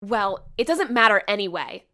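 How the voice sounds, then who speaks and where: A woman's voice: medium pitch, sing-song, moderate pace, sharp tone. A woman voicing a brash, hot-tempered young female fighter in an anime fan dub, scornful and bossy. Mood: scolding.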